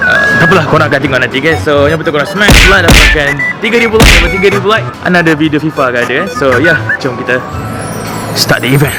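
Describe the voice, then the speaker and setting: Excitable young Malaysian man vlogging. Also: on the high side, very fast. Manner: chatty, excitable, loud and playful. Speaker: gaming vlogger. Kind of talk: casual vlog in Malay mixed with English. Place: noisy indoor gaming expo hall. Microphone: handheld camera held at arm's length. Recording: loud crowd and music behind. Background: crowd chatter and event music.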